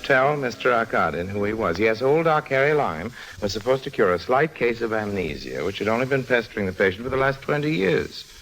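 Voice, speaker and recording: An actor playing a wry, cheeky small-time crook turned investigator in a 1950s radio drama, slangy and nonchalant. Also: male voice, mid-range voice, lively intonation, fairly quick, few pauses, fairly bright tone, rough recording. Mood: sardonic.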